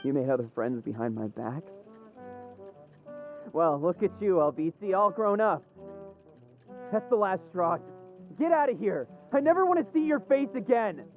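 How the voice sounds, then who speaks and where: A man's voice: high voice, very animated, moderate pace, long pauses, narrow, tinny audio, soft background music. An actor playing a theatrical, possessive and spiteful guard in a video game, taunting and mocking in his delivery. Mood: hurt, furious.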